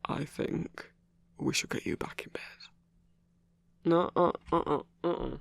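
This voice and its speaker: Male actor as gruff werewolf boyfriend, heavy vocal fry, husky and breathy, halting sweary murmur, intimate ASMR roleplay. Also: a little high, steady tone, exaggerated voice. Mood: tender, teasing. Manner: halting, sweary, hushed rambling with sighs.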